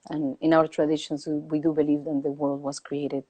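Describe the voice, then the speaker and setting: A woman from Peru speaks in a low, warm voice that stays fairly flat in pitch. She talks slowly and reflectively, pausing often to find her words, over a video call. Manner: slow, thoughtful, with many hesitations. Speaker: guest. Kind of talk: reflective conversation. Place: home, calling in remotely.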